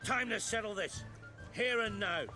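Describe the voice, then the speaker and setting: A man's voice: high-pitched, unhurried, pushed and bright, faint music behind, exaggerated voice. A man playing a quarrelsome island lord in a fantasy game, blunt and confrontational. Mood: hostile. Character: quarrelsome, insulting island jarl. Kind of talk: cinematic fantasy game acting.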